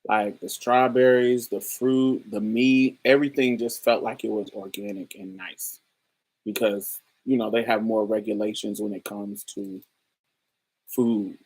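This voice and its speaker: A woman hosting a casual chat, telling stories in a lively way with frequent laughter and free swearing. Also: very deep voice, unhurried, breathy edge, poor audio. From New Orleans, USA. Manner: animated storytelling, frequent laughter, swears freely. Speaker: host. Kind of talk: casual livestream chat with viewers.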